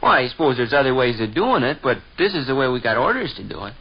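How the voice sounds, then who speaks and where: An actor playing an earnest, patient American schoolboy nephew in a chummy 1940s radio comedy style. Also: male voice, medium pitch, very animated, quick pace, husky. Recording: dull vintage 1940s radio recording.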